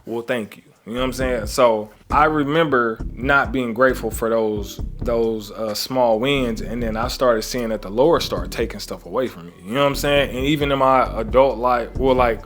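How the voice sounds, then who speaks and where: A man's voice: medium pitch, fairly even intonation, normal speed, hardly any pauses, a bit bright, faint music behind. A man coaching traders in a motivational talk, earnest and conversational. He preaches gratitude, refers to his faith and often checks in with "right?". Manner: conversational, often adds "right?" and "you know what I'm saying?". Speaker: trading coach.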